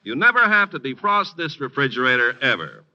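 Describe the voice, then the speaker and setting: A man's voice: medium pitch, very animated, a little fast, highs cut off. An American man announcing for a sponsor on 1950s radio, selling emphatically, heard on an old broadcast recording. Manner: emphatic sales delivery.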